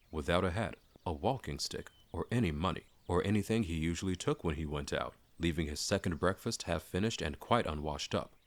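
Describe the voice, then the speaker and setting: A man's voice: low voice, dry recording. A man narrating a classic fantasy story as a dramatic audiobook reading.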